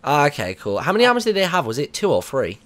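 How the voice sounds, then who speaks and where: A man's voice: on the high side, huge pitch swings, quick pace, crowd noise. A British man hosting a casual radio interview, chatty and informal, rambling a little as he sets up questions.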